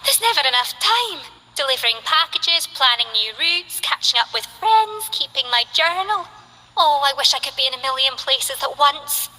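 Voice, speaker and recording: A woman's voice: sits high, animated, normal speed, sharp tone, a little hiss or hum, low-quality recording. A woman voicing a cheerful, chatty young female delivery courier in a video game. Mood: upbeat. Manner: bubbly, chatty, eager.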